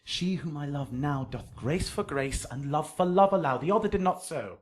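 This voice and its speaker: A man playing a lovestruck, earnest young gentleman with articulate verse delivery in a Shakespearean radio drama. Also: fairly high pitch, animated, moderate pace. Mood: earnest, ardent.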